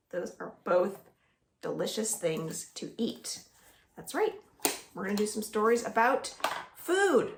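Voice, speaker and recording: Slow singsong woman reading storytime. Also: sits high, creaky, a bit bright, reverberant, a little hiss or hum. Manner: slow, singsong and coaxing, asks the listeners to join in. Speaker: children's librarian. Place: at home.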